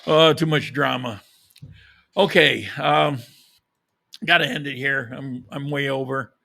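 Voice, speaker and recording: A man's voice: medium pitch, steady tone, unhurried, gravelly, pushed and bright. A man talking casually to his viewers, opinionated and rambling, with frequent restarts, short of breath and losing his voice. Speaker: vlogger. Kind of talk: casual chat.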